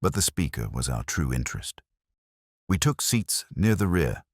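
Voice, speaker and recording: Audiobook narrator, male, extremely low register, measured and formal, animated intonation, dry close sound. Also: slightly rough, dry recording. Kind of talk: scripted first-person detective story narration.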